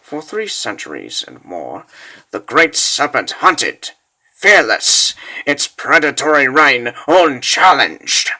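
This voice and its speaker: A man narrating a dark fantasy story in a theatrical, ominous style with deliberate phrasing. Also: mid-range voice, very animated, poor audio. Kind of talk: dramatic scripted fantasy narration.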